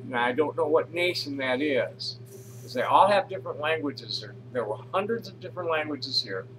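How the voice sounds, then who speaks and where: A man's voice: fairly high pitch, expressive, moderate pace, fairly bright tone, slight background noise, low-quality recording. A man casually reading posts aloud and commenting on them, rambling with frequent restarts and fillers. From North America. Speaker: reader of social media posts.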